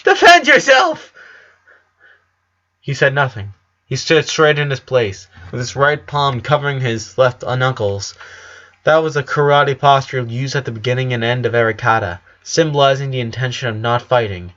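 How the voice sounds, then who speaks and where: A man's voice: medium pitch, normal speed, fairly bright tone, muffled recording. A man narrating a story in an earnest, dramatic audiobook reading. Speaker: narrator of a fan fiction story.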